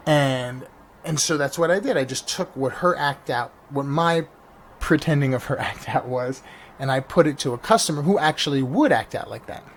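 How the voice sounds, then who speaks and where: A man from New York, a stand-up comedian, rambles through his own vlog podcast in a loose, animated way, circling back and restarting thoughts. His voice sits at an ordinary middle pitch. It comes through a slightly janky mic, with a faint engine hum in the background. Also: normal speed. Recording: faint engine noise behind. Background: engine noise.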